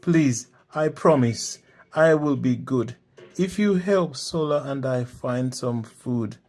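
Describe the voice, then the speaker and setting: A man's voice: fairly high pitch, fairly even intonation, slow pace, darkish tone, faint music behind, voice pushed up. Man voicing a whiny, hungry young storybook fox. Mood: pleading. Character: hungry, impatient, pleading young male fox troublemaker. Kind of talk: children's storybook character voice.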